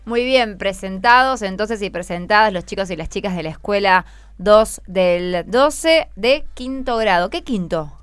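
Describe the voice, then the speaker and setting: Clear-voiced Argentine woman hosting radio. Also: medium pitch, a little slow, few pauses, dry recording. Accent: Argentine, Buenos Aires. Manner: encouraging, asks many questions. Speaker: radio host. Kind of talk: unscripted school radio hosting with children. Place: radio studio.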